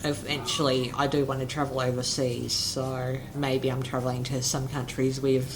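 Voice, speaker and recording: A man talking as a guest in a casual interview, hesitant and halting with frequent restarts. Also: mid-range voice, level tone. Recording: noisy background.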